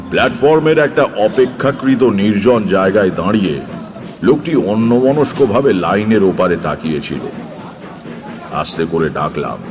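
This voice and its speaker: An actor playing a seasoned tantric sage who tells eerie true tales in a measured, unhurried way for an audio drama. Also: male voice, deep voice, big-room sound. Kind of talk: dramatised audio story narration. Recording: faint background noise.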